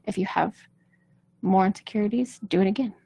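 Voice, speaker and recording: A woman's voice: medium pitch, brisk, warm tone, breathy edge. A woman vocal coach speaking casually and reassuringly to viewers in a live-streamed coaching chat.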